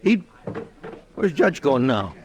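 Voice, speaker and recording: A high, plaintive country fellow with a rural American accent, frightened and pleading in an old radio western. His pitch swoops as he halts and begs, over faint recording noise. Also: very animated, darkish tone. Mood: anxious pleading. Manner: plaintive, halting, folksy. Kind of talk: 1940s-50s radio western acting.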